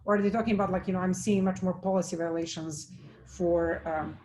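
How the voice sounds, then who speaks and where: Halting woman giving a tech talk. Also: fairly low pitch, fairly even intonation, warm tone, slight background noise. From Serbia. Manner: halting, many fillers and restarts. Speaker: conference speaker. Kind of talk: technical talk with Q&A.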